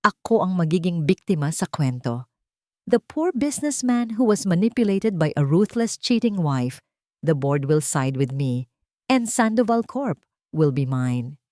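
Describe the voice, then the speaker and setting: A woman's voice: lowish voice, sing-song, a little slow, warm tone, dry recording, studio quality. A woman voicing a scheming, ambitious businessman who speaks coldly and with scorn in a storybook reading. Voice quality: mixes English into lines.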